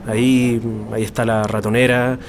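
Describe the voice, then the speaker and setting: An actor playing an uneasy middle-aged Chilean father back from exile in a radio drama, halting and wistful. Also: mid-range voice, flat delivery, a crowd in the background, lightly acted. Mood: pensive, wary.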